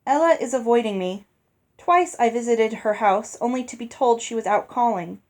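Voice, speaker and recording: Woman reading as young storybook prince, earnest n puzzled, journal entry, highish voice. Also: moderate pace, close to an everyday voice. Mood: wistful. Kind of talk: storybook journal read aloud.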